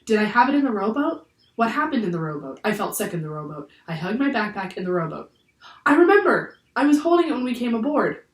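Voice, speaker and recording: A woman's voice: mid-range voice, expressive, fast, close to an everyday voice. A woman voicing an earnest, anxious young boy with an urgent manner in a children's audiobook. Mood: frantic. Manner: urgent, exclaiming. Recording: roomy echo, distant from the mic.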